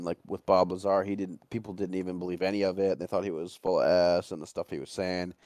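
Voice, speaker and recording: A man's voice: sits low, a little mellow, dry recording, clean studio sound. A man co-hosting a livestream, chatting casually about conspiracies in a rambling way and swearing often. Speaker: livestream co-host.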